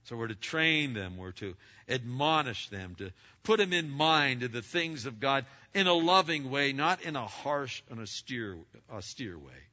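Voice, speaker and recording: Brief: middle-aged male preacher, big bright voice, stammering restarts, dull lo-fi sound. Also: mid-range voice, expressive, a little slow. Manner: loud and emphatic, with many stammered restarts. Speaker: pastor. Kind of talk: sermon to a congregation. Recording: lo-fi recording.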